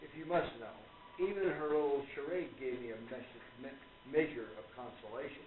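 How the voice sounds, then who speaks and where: A man playing a polite, measured gentleman who gently reproves others in a radio play. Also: medium pitch, natural voice. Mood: mild, defensive. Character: gentlemanly believer in spiritualism, courteous, gently reproving. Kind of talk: stage radio play acting. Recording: tinny, echoey, distant with audience noise.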